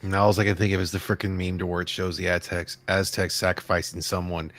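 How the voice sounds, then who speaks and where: A man's voice: low-pitched, level tone, fast, vocal fry, fairly bright tone. A man in a casual podcast chat who drops sarcastic quips. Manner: wisecracking, sarcastic asides.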